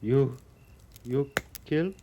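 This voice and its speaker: Slow, halting, wounded young man. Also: mid-range voice, highs cut off. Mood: pained, distrustful. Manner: halting, broken English. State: in pain, groaning. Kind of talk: old-time radio western acting. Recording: noisy old radio drama recording.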